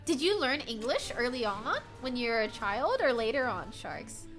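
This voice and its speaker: A woman's voice: fairly high pitch, normal speed. An American woman streamer, young, chatting casually with her chat while gaming, laughing often. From United States. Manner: giggly, animated, frequent bursts of laughter. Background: faint game music.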